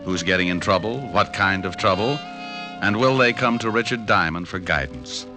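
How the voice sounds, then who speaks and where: An actor playing a hard-boiled private detective narrating in a dry first person, in a 1940s radio drama. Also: male voice, low voice, moderate pace, close to an everyday voice. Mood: wry. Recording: old radio narration over music.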